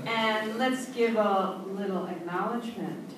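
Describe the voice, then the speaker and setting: A woman's voice: medium pitch, unhurried. A woman announcing a cast to a live audience at a stage show. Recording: echoey hall, distant mic, loud crowd noise.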